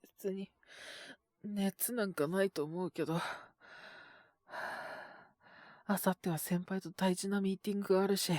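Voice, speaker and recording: A man's voice: sits high, fairly even intonation, moderate pace, darkish tone, no echo, natural voice. A man acting an earnest, polite young junior coworker who is sick with a cold, coughing and sighing in an intimate Japanese voice drama. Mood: weary, unwell. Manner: polite and deferential on the phone, sighing, murmuring to himself.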